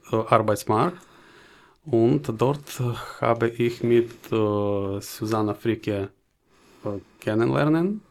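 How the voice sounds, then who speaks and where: Slow, halting man with a Ukrainian accent. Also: mid-range voice, a little mellow. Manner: hesitant, searching for words. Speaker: interview guest, job seeker. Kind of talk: interview answers in halting second-language German.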